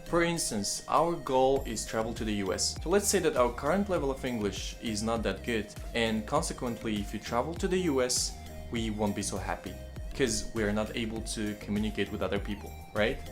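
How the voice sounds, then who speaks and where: Young man, friendly vlogger, mid pitch, faint music under his voice. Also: brisk. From Kazakhstan. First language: Kazakh. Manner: friendly, addresses viewers as guys, lively emphatic starts. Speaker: language-learning vlogger. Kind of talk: casual study-tips talk to camera.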